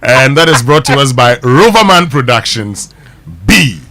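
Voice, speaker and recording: A man's voice: mid-range voice, lively intonation, a little slow, heavy background noise. A man hosting a morning radio show, easygoing with brief interjections. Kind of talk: studio banter. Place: radio studio.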